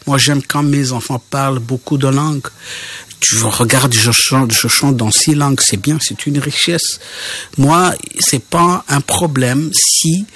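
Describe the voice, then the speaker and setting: Husky middle-aged Rwandan man, Kinyarwanda native, lively and halting, mid-pitched. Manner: animated, repeats words, hesitant fillers. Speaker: interviewee, singer. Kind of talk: casual interview conversation.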